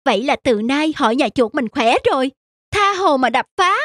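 A woman voicing a cheeky, greedy, gullible little cartoon mouse in an excitable way. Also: high-pitched, moderate pace, dry recording, studio quality. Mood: smug, relieved. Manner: excitable, exclamatory. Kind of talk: cartoon voice acting. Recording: loud music under the voice.

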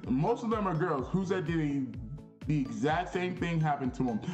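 A young man vlogging in a casual, animated, slangy storytelling way, repeating phrases for emphasis in a small bedroom. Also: a little high, reverberant. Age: young adult.

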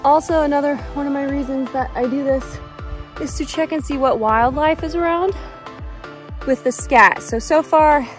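High-voiced bubbly woman vlogging outdoors. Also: moderate pace, music in the background. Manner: bubbly, enthusiastic, chatty. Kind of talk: casual vlog narration. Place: outdoors in desert and in a shop. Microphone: phone at arm's length.

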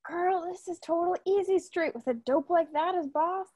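A woman's voice: very high-pitched, moderate pace, dark tone. A woman reading a sly, scheming ex-convict diner worker in a comedy table read. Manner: sly, wheedling.

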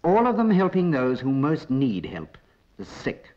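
A British man gives a measured, theatrical narration for a 1940s information film. His intonation is lively and varied, and the recording sounds dull and old. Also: fairly high pitch.

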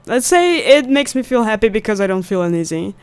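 A woman's voice: medium pitch, sing-song, brisk, clear, resonant tone. A young woman giving casual let's play commentary, musing aloud as she plays, close to a studio mic. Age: young adult. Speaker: gaming video host. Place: indoors at home, seated close to mic. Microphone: studio condenser on stand. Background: game music.

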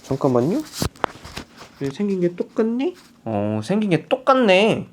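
A man's voice: sits high, wildly swooping pitch, measured pace, noisy background. A man from Chungcheong with a Chungcheong dialect, chatting casually and talking to himself as he unboxes a camera for his vlog. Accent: Chungcheong Korean dialect. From Chungcheong, Korea. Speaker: vlogger.

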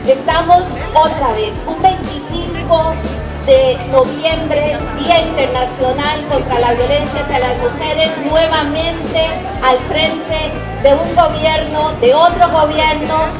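A woman's voice: high-pitched, steady tone, takes it slowly, echoey room, music in the background. A woman activist speaking emphatically at a street march. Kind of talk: declarative statement.

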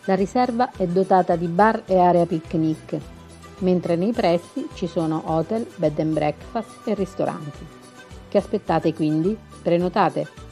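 A woman's voice: fairly low pitch, measured pace, dark tone. A woman from Italy hosting a TV segment, reading a scripted travel feature. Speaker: TV segment host and narrator. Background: music bed.

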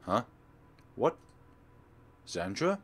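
A man's voice: on the low side, very animated, drawn-out words, exaggerated voice. A man playing a startled, anxious schoolgirl. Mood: confused, startled. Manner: questioning, startled. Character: confused, frightened teenage schoolgirl in horror story. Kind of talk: visual novel character read-aloud.

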